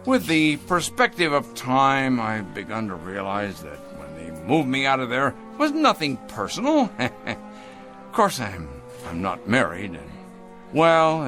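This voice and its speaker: Proud, bitter boss, wildly swinging pitch. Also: male voice, a bit bright, full character voice. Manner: domineering, halting, self-justifying. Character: proud, neurotic middle-aged company boss, bitter and wounded. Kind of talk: radio drama acting. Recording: radio drama with music underneath.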